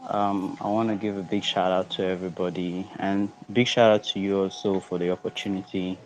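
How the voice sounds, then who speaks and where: A man's voice: sits low, level tone, fairly quick. A man speaking as a guest, politely greeting listeners over an online audio connection. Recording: dull lo-fi online audio, some noise.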